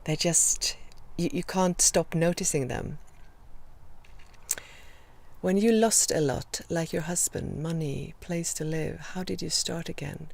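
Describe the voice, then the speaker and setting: A woman in her fifties with a Swedish accent, hosting a live video Q&A and gently encouraging her viewers. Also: low-pitched, vocal fry. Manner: gentle, encouraging, slow and reflective with many pauses. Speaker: host.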